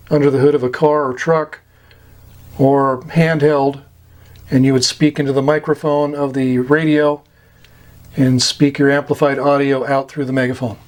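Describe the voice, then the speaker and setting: American man explaining terms, slightly high voice. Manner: halting, pausing delivery in short phrases, plain-spoken. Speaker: instructor explaining CB radio terms. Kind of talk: informal explainer, glossary-style lesson.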